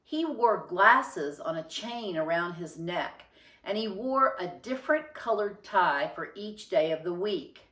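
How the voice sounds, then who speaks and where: A woman reading a children's story aloud with expressive, deliberate and emphatic phrasing. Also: fairly low pitch, far from the mic. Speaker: storybook reader. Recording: roomy, echoing sound.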